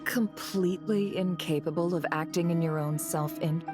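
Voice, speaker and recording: Actress as a low-voiced, sardonic lady boss. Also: steady tone, moderate pace, natural voice. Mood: exasperated. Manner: dry, composed, sarcastic. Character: shrewd, haughty businesswoman boss, coolly sardonic. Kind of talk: video game voice acting. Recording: music under the voice.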